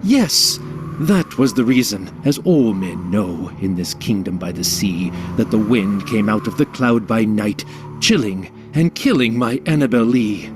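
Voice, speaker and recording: A man giving a dramatic poetry recitation in a solemn, theatrical, measured way. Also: on the low side, expressive. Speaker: audiobook narrator reciting a poem. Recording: music under the voice. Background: music.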